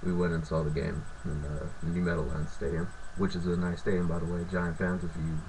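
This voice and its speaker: A young man from California, sick with a sore throat and raspy, ranting and swearing in a rambling, filler-heavy way. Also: low voice, flat delivery, a little fast, noisy background. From Fresno, California. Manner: profane, rambling, lots of filler. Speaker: sports fan vlogger. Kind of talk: casual ranting vlog.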